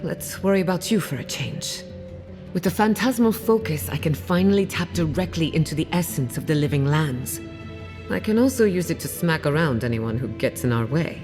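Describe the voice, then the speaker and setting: A woman playing a confident, dryly witty scholar in a video game, measured and guarded. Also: deep voice, expressive. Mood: determined. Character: driven, wry female scholar, guarded about family loss. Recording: music playing behind the voice.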